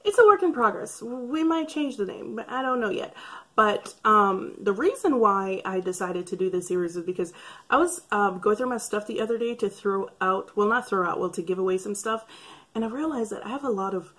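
A woman in her thirties, a beauty vlogger, talking casually to camera in a chatty, wry way with a slightly raspy voice from breathing trouble. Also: medium pitch, expressive, fairly quick, fairly bright tone. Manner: chatty, wry, rambling asides. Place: bright room by a curtained window. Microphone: camera framed head and shoulders.

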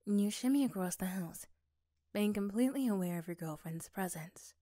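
Woman, soft dark tone, scripted ASMR story narration, close dry sound. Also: medium pitch, animated, moderate pace, no echo.

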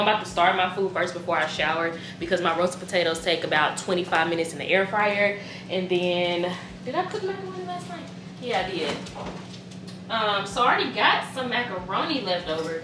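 A young woman with a Southern American accent vlogging casually from her apartment kitchen, chatty and excitable. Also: mid-range voice. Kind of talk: casual vlog chat. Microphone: camera at a distance. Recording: echoey room, clear background noise.

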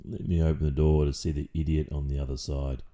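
An Australian man playing a stern, protective, possessive father in an audio roleplay, speaking deliberately and condescendingly with drawn-out pauses and menacing calm. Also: very deep voice. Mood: gruff, suspicious. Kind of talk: intimate audio roleplay, slow dramatic monologue.

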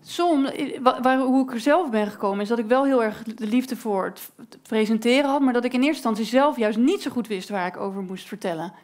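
A woman interviewer with a high voice hosts a conversation on a small dark stage. She talks in a chatty, playful way, with many small fillers and restarts, into a handheld mic. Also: brisk, hardly any pauses. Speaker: interviewer and presenter. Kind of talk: stage interview in front of an audience. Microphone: handheld microphone.

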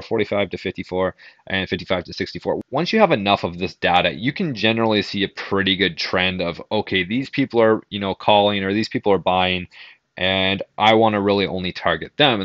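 Young dude doing a chatty how-to, kinda low voice, dry close sound. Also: male voice, fairly bright tone, dry recording, muffled recording. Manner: informal, conversational, explanatory. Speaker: digital marketing instructor. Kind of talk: casual screen-share tutorial.

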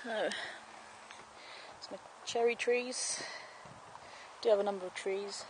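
A woman's voice: mid-range voice, steady tone, slow. Chatty woman filming her allotment plot. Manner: chatty, homely, explains as she goes. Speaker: allotment gardener. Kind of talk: casual walking tour commentary to camera. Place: outdoor allotment garden plot. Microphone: handheld camera, speaker off screen. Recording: outdoor camera audio with clear background noise.

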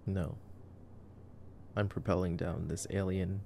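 Very gravelly, low-voiced young man. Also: flat delivery, slow, warm tone, a little hiss or hum. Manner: sarcastic, slangy, low-key. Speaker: ASMR roleplay performer. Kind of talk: ASMR boyfriend roleplay, casual movie commentary.

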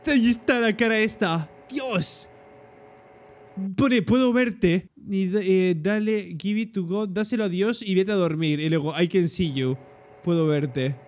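A young man with a Peninsular Spanish accent giving excitable reaction commentary and translating aloud as he goes. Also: high-pitched, measured pace. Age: young adult. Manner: excitable, interjecting. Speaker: reaction video host. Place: indoor room, webcam reaction setup. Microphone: desktop streaming microphone.

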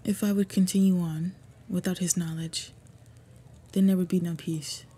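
A woman acts out an earnest half-orc suitor in a medieval fantasy roleplay. She speaks in a very breathy, soft voice with a dark, mellow tone. Her delivery is formal and measured, staying fairly flat in pitch as she pleads with devotion. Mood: resolute. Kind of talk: scripted fantasy roleplay audio.

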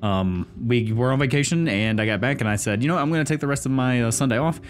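A man's voice: mid-range voice, quick pace. A man with a stuffy, congested voice from a cold, casually commenting while playing a game and thinking aloud with many fillers. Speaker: gaming video host. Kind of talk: casual let's-play commentary.

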